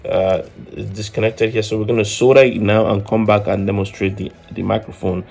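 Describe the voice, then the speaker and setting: A man's voice: lowish voice, fairly even intonation, a little fast, creaky, darkish tone. A man in his thirties giving an informal hands-on tutorial as host, explaining step by step and often repeating words as he goes. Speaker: host of an electronics DIY channel.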